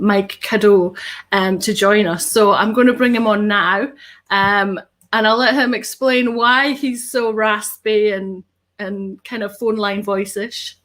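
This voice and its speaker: A woman's voice: medium pitch, a bit bright. A woman in her thirties hosting a live online show from home over a webcam, bubbly and upbeat with many ums. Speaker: host. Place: home studio with tinsel backdrop.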